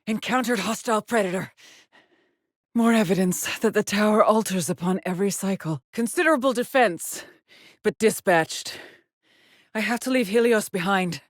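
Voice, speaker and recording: An actress playing a weary, determined lone space explorer in a video game, with a measured, solemn, haunted delivery. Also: female voice, mid-range voice, dry recording, studio quality, lightly acted. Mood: urgent reporting. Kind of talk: dramatic game voice lines, short log entries.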